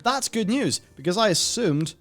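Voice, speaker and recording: A man's voice: high-pitched, sing-song, moderate pace, a bit bright, faint music behind. A man hosting a casual gaming discussion, reading and answering viewer comments in a rambling way as he thinks aloud.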